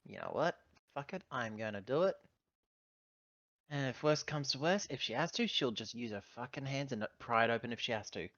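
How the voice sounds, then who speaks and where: A man playing a tabletop game, chatting casually and bluntly, thinking his moves through out loud. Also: mid-range voice, brisk, no echo, dull audio. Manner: blunt, expressive, thinking aloud. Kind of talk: casual gaming chat.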